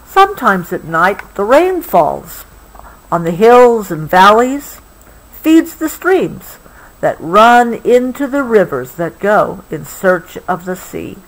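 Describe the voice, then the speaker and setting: A woman reads a picture book aloud to a child, gently adding explanations as she goes. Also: mid-range voice, big pitch swings, takes it slowly, rough recording. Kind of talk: read-aloud storybook with explanatory asides.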